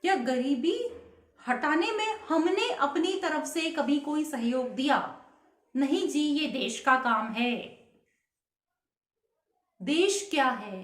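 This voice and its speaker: A woman's voice: high-pitched, lively intonation, takes it slowly, long pauses, roomy sound. A woman vlogger giving an earnest patriotic speech to camera, asking many rhetorical questions.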